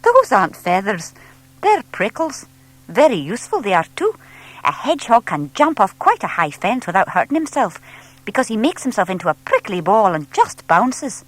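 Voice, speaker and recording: A woman's voice: medium pitch, moderate pace, no echo, voice pushed up, poor audio. A woman voicing a friendly young lady artist who explains things patiently and enthusiastically in a children's audiobook. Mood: amused, explaining. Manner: animated, explaining gently to a small child.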